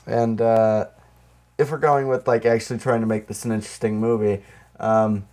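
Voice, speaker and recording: Male podcast co-host, lower register, loose conversational movie banter with a joking, riffing streak. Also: flat delivery, normal speed, darkish tone. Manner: joking, riffing with mock quotes. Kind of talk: casual movie chat.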